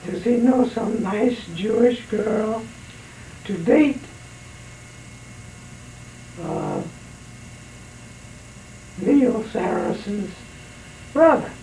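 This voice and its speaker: Older man, raspy, very slow telling, mellow dark tone, home interview. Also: sits high, a little hiss or hum, low-quality recording. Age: 60s. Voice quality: raspy voice after throat surgery. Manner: slow, unhurried storytelling with pauses. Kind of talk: home oral history, recounting memories.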